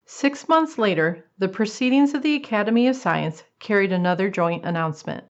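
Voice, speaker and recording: A woman delivers scripted, dramatic narration for an old-time radio play. Her pitch is average for a woman. The recording sounds slightly dull and limited in range. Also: lively intonation, brisk.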